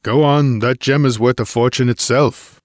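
A man voicing a brawny barbarian swordsman in an audiobook, gruff and curt, giving orders in a grunting, hard-bitten way. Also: on the low side, a little slow, no echo, studio quality, close to an everyday voice. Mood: gruff commanding.

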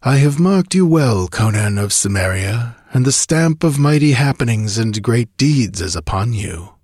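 A man's voice: mid-range voice, expressive, measured pace, darkish tone, husky, no room sound, clean studio sound. A man voicing an ancient ghostly sage in a fantasy storybook, with sepulchral tones and a solemn, commanding, oratorical manner. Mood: commanding, portentous. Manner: grandiose, archaic, oratorical delivery. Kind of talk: dramatic audiobook fantasy reading.

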